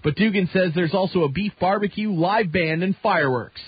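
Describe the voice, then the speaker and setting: A man's voice: high-pitched, a little fast, breathy edge, dry recording, highs cut off, faint music behind. A Canadian man reading a scripted newscast as a radio news anchor. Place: radio studio.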